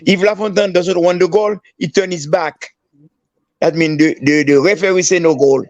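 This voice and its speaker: An older man from Haiti, a former footballer, telling stories in an interview with many halting restarts and fillers. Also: high-pitched, steady tone, a little mellow, no room sound, dull audio. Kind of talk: storytelling interview.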